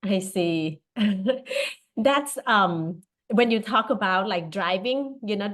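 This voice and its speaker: A woman from Thailand hosts a relaxed business interview. She is friendly and somewhat halting, filling her pauses with "um" and "like" as she shapes her questions. Her voice sits at an ordinary middle pitch. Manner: friendly, halting, with many fillers. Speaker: interview host. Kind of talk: casual interview chat.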